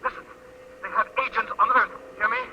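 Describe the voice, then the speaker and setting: A man's voice: sits high, fairly even intonation, fairly quick, slight background noise. An actor playing a desperate, out-of-breath male scientist calling Earth over a faint radio link from the moon, in 1950s radio drama style. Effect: distant radio transmission. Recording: muffled old radio broadcast.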